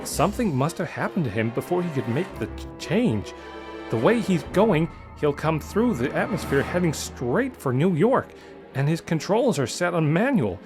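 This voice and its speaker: A man's voice: a little high, big pitch swings, moderate pace, over music, pitched above the performer's own voice. A man voicing a nervous, bookish male scientist with a hesitant, polite stammer in an adventure story. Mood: worried, urgent. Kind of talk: audio adventure story character voice.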